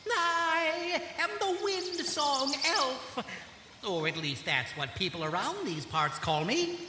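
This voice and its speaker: A woman's voice: high-pitched, sing-song, takes it slowly, background noise, full character voice. A woman playing a whimsical, mischievous yet kindly elf in an audio drama, giggly and theatrical, with playful rhymes and grand old-fashioned phrasing.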